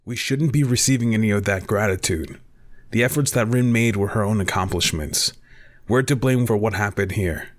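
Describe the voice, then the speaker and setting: A man playing a mild, responsible young ninja mentor with a measured, conciliatory manner in an anime fan audio drama. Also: on the low side, lightly acted. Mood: somber.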